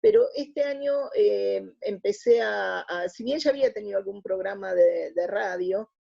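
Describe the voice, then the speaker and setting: Casting: middle-aged Argentine woman, gravelly low-key voice, hesitant conversational interviewee, on dull lo-fi audio. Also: normal speed, warm tone. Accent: Argentine Spanish. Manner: hesitant, searching for words. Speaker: interview guest. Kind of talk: casual reflective interview answers.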